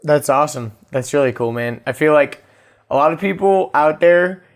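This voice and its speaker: A man's voice: a little high, lively intonation, moderate pace. A young man hosting a podcast, speaking in an informal, friendly, rambling way. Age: young adult. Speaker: podcast host. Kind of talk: casual podcast interview.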